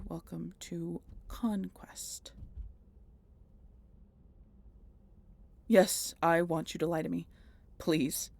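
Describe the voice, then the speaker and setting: A woman acts out a sardonic female vampire in a scripted audio roleplay. Her voice is slightly low and husky, with a creaky edge. She talks straight to the listener in a wry, teasing, dramatic manner. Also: a little mellow, exaggerated voice. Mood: dreading, pleading. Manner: sardonic, wry, playful, conversational. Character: snarky, swearing female vampire road-tripper, teasing and dramatic.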